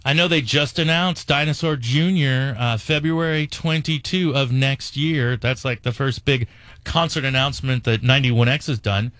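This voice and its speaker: A man's voice: medium pitch, normal speed, a bit bright, dry recording. A man speaks in a dry, close recording with no room sound. He has a mid-range voice and talks conversationally as the co-host of a radio show.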